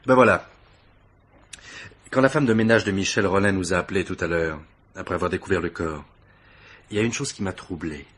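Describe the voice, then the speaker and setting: A man's voice: deep voice, fairly quick, pauses often, a bit bright, breathy edge. A man acting an eager, deferential police assistant in a French radio mystery play, explaining his deductions with proud, methodical emphasis.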